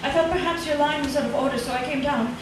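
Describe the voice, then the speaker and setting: A woman's voice: high-pitched, quick pace, lightly acted. A woman playing a frightened, reluctant wife in an old-time radio drama, anxious, hesitant and pleading. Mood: guarded. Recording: very echoey, distant, loud background noise.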